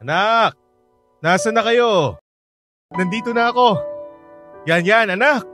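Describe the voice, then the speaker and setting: A man's voice: sits high, slow, pushed and bright, soft background music, full character voice. A man playing a stern, anguished working father in a radio drama, emotional and exasperated. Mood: anxious.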